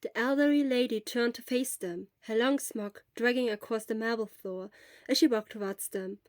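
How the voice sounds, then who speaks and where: A woman narrates fiction in an expressive, read-aloud style. Her voice is on the high side, and the recording is close and very dry. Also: moderate pace, dry recording. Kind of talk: expressive scripted story narration.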